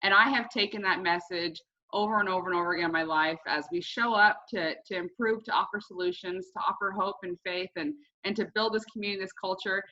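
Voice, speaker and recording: A woman hosting an online call, telling personal stories and introducing a guest in an earnest, expressive way. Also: mid-range voice, a little fast. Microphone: video call. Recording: dull lo-fi online call audio.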